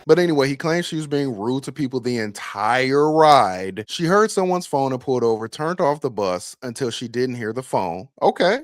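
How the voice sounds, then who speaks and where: A man's voice: mid-range voice, lively intonation, moderate pace, few pauses, no room sound, studio quality. A man who works as a bus operator, hosting and giving emphatic, opinionated commentary on a clip and viewer comments. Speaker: bus operator and channel host.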